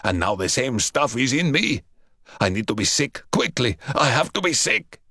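A man voicing a desperate man who rasps out his fear of being poisoned. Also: medium pitch, very animated, moderate pace, sharp tone, no room sound, clean studio sound, heavily acted. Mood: panicked. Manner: rasping, frantic.

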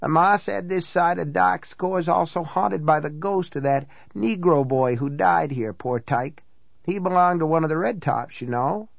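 A man's voice: fairly high pitch, unhurried, gravelly, dry recording, voice pushed up. A man voicing an older small-town housekeeper woman from an audiobook, blunt, gossipy and matter-of-fact. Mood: matter-of-fact, grim relish. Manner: folksy, matter-of-fact storytelling. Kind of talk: audiobook character dialogue.

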